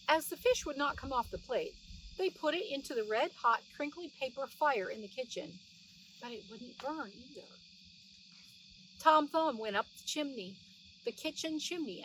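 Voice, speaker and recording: A middle-aged woman narrates a children's storybook in a fairly high, highly expressive voice. She speaks simply, as if to young children. The recording sounds slightly distant, with faint noise behind her. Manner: animated, explaining things simply for young children. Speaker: children's read-aloud narrator. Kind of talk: expressive storybook reading with plain asides to explain words.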